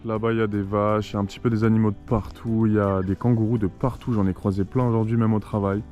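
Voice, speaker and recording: A man's voice: lowish voice, little pitch movement, fast, darkish tone, highs cut off. Upbeat French man vlogging, music behind. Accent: French from France. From Lyon, France. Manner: enthusiastic, informal. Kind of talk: casual slangy vlog chat to camera.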